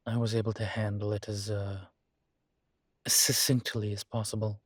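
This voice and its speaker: A man playing a weary incubus college professor, gruff but fond, in an intimate scripted audio roleplay, speaking haltingly with sighs. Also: on the low side, flat delivery, dry recording, clean studio sound, close to an everyday voice. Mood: sincere, admitting. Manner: slow, halting, sighing, candid.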